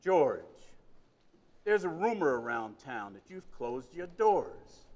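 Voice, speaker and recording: An actor playing a scheming, menacing rich banker in a live stage radio play, smooth-voiced. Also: male voice, mid-range voice, sing-song, slow pace, darkish tone, highs cut off. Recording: long hall reverb.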